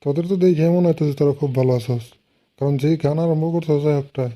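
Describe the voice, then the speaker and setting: Man playing a dark-toned stern cartoon schoolteacher. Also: a little high, fast, natural voice. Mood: sarcastic scolding. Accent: eastern Bengali. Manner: sarcastic, scolding. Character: stern, sarcastic male village schoolteacher. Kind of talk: comic cartoon dialogue.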